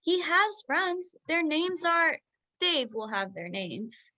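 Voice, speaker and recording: A woman acts out an overworked doctor mom in a contemporary drama reading. Her voice sits fairly high, and she is brisk, proud and quick to push back, insistent about what she wants. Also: very animated, sharp tone, full character voice. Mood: defensive.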